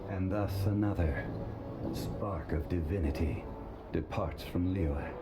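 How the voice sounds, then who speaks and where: A man voicing an ancient male god in human form, speaking in a calm, measured, solemn and formal way. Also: sits low, very noisy. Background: loud game music.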